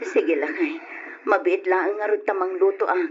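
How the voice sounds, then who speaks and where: A woman's voice: fairly low pitch, moderate pace, lightly acted. Woman acting fretful country mother, lo-fi radio. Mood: concerned, caring. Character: caring, fretful older rural wife and mother. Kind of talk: Ilocano radio drama acting. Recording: lo-fi old radio, background hiss.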